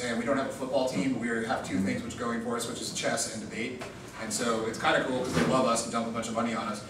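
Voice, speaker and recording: Fast-talking young man lecturing in echoey room. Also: medium pitch, far from the mic. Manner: joking, rapid-fire, quizzes students. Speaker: debate theory lecturer. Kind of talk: informal classroom lecture. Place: classroom. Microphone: far room mic. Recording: echoey room recorded from afar with noise.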